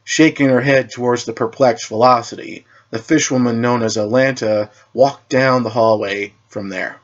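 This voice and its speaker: A man's voice: medium pitch, fairly even intonation, normal speed, lo-fi sound. A man reading a superhero story aloud as narrator, often stumbling over words and rereading them.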